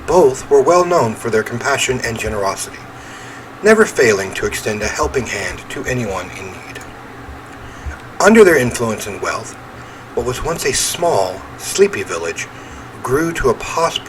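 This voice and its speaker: Male gaming host, animated intonation, medium pitch, casual self-deprecating rambling, read-aloud story narration, noisy home recording. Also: moderate pace. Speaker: gaming host playing a horror visual novel. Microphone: standalone microphone at a desk.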